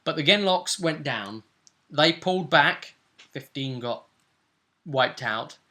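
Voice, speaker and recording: A man's voice: fairly high pitch, expressive, takes it slowly, sharp tone. A man recapping a tabletop game as its game master in casual chat, often checking for agreement with tag questions.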